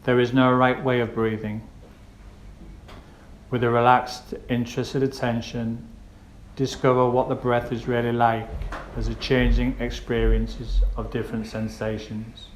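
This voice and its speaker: Even-toned man guiding meditation, echoey room. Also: medium pitch. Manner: gentle, unhurried instruction with pauses. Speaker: meditation guide. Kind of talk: guided meditation narration. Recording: roomy echo with faint background noise.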